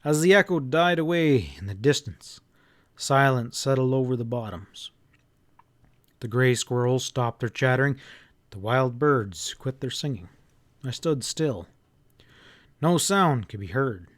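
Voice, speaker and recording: A man reading an audiobook as a first-person narrator, in an expressive, folksy storytelling manner. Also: mid-range voice, a little slow, long pauses.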